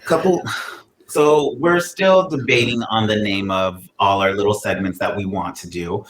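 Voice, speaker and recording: Sarcastic, slow-talking male co-host. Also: fairly low pitch, a bit bright. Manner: playfully sarcastic, mock-bitter. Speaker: podcast co-host. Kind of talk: casual chat. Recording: echoey room, faint ambient noise.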